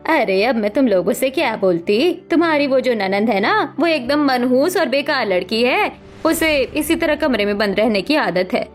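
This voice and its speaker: A woman's voice: sits high, lively intonation, moderate pace. A woman voicing a two-faced, scolding middle-aged mother-in-law in a cartoon family drama. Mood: disdainful.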